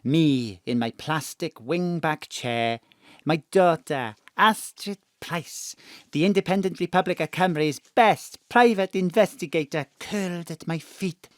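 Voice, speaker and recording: An actor playing an elderly, cheeky South Wales mam in a comic audio drama, theatrical and full of exclamations. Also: male voice, on the high side, a little slow, no echo, studio quality, lightly acted. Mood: nostalgic.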